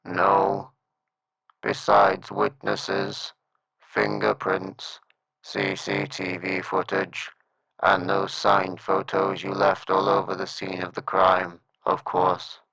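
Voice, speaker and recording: A man playing a scheming villain in a comedic sci-fi radio play, deadpan and deliberate, with a gravelly, husky voice. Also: sits low, dry recording, exaggerated voice, low-quality recording. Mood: cold, deadpan. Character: scheming male sci-fi villain, slow and ponderous.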